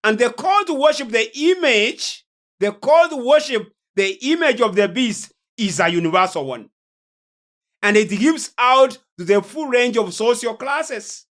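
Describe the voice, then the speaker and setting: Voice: medium pitch, fairly bright tone. A preacher delivering a sermon-like lecture on prophecy, solemn and emphatic, repeating phrases for weight. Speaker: preacher and host of a religious teaching series.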